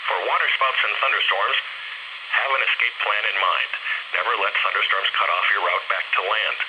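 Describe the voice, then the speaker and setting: A synthetic male voice reading a scripted automated weather bulletin as a radio announcer, in a flat, segmented delivery. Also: fairly low pitch. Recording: very noisy weather radio broadcast.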